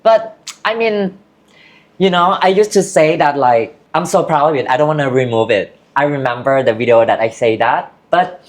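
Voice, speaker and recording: A woman's voice: low-pitched, normal speed. A woman from Thailand with a Thai accent, speaking candidly as an interview guest in loose, broken English. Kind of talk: casual interview chat.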